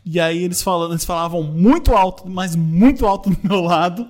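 A man's voice: sits high, fairly quick, crowd noise. A man hosting a casual podcast chat and reading the news aloud. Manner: chatty, reads news aloud. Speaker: podcast host.

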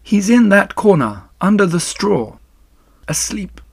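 A man voicing a calm, motherly female spider in a children's story, weak and dying but gently firm and measured. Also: high-pitched, vocal fry, husky, no echo, studio quality, pitched above the performer's own voice, full character voice.

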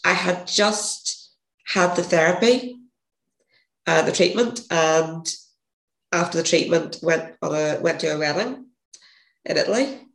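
A woman with a gravelly, hoarse voice, a podcast guest telling a rambling story and correcting herself as she goes. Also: lowish voice, measured pace, long pauses. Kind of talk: casual interview storytelling. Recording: echoey room.

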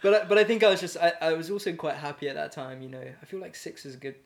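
A man's voice: a little high, racing. A man, a poet speaking as an interview guest, thoughtful and hesitant, with many fillers and restarts and easy laughter. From Ecuador and England. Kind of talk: casual reflective conversation.

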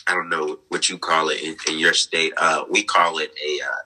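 A man in his twenties, a guest on an interview, talking earnestly about his life with many fillers and soft laughs over a remote call. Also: very deep voice, little pitch movement, moderate pace. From United States. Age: 20s. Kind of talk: conversational interview, personal story.

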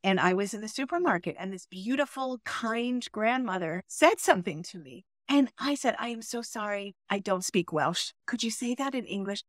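A woman's voice: mid-range voice, moderate pace, hardly any pauses, dry recording, studio quality. A woman, an English pronunciation coach telling stories with enthusiasm in a podcast interview. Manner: enthusiastic, animated storyteller.

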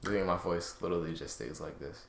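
A young man co-hosting a casual podcast, laid-back and fairly monotone. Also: low voice, fast, gravelly, roomy sound. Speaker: podcast co-host. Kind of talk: casual chat.

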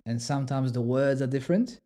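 Guy talking theology on a podcast, mellow low-key tone, walks through it step by step. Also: a little fast. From Poland. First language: Polish. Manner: thoughtful, explaining step by step with asides. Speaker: podcast co-host explaining theology. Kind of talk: casual explanatory chat.

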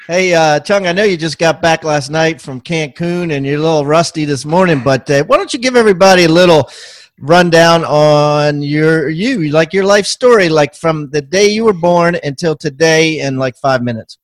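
A man's voice: a little high, moderate pace, no room sound. A man hosting a podcast, introducing his guest in a laid-back, teasing way. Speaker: podcast host. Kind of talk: casual chat.

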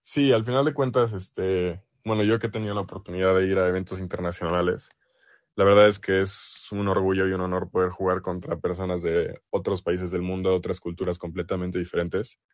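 A young man with a low-pitched voice and a Mexican accent speaks casually, as a player being interviewed. The sound is dry, with very little room around it. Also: little pitch movement, a little fast, no room sound. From El Salvador.

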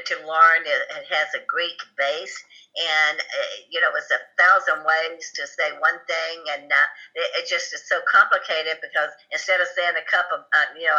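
A woman from Alabama with a Southern US accent, chatting as an interview guest in a folksy way with many filler pauses. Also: low-pitched, steady tone, bright, forceful tone, highs cut off. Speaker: guest, expat group organizer. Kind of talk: casual interview conversation.

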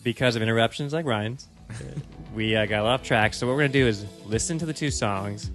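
A man's voice: mid-range voice, brisk, fairly bright tone. A man hosting a casual chat among friends, steering the format and teasing the others. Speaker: podcast host running the bracket. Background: music playing.

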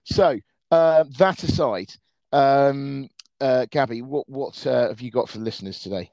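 A man hosting a podcast, his voice a bit croaky from shouting, chatting casually with plenty of fillers. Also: medium pitch, measured pace, dry recording, rough recording. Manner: chatty, hesitant with many fillers. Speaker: podcast host.

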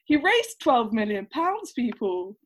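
A woman in her twenties co-hosting a podcast, upbeat and quick to laugh. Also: a little high, sing-song, husky. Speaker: podcast co-host. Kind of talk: casual chat.

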